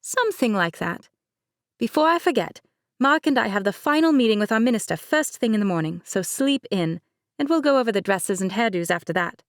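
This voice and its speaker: A woman's voice: a little high, expressive, a little fast, dry recording, studio quality, voice pushed up. A woman voicing a bubbly, well-off young bride who teases and gushes. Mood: teasing. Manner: gushing, teasing, bright.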